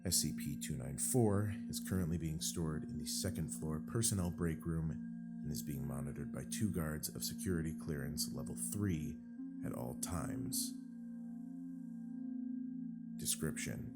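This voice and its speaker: Man playing a very deep-voiced formal scientist director. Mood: formal, composed. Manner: formal, measured, clinical. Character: formal scientist director of a secret anomaly facility. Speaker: narrator of a briefing log. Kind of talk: scripted narration, in-character briefing. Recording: guitar music behind the voice. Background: plucked guitar music.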